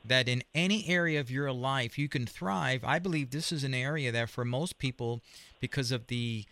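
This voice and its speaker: A man's voice: mid-range voice, normal speed, bright, forceful tone, dry recording. A man hosting an interview show, asking long, hesitant questions full of restarts. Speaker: show host.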